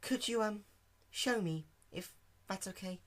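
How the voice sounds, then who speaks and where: A man voicing a timid, gentle female cartoon pony in a soft, hesitant, polite way. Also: high voice, measured pace, pitched above the performer's own voice.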